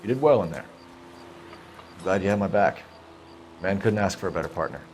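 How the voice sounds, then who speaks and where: An actor in a video game playing a proud, ambitious young officer, earnest, clipped and formal. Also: male voice, low voice, expressive, fairly quick, darkish tone, slight background noise. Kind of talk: dramatic video game acting. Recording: faint background ambience.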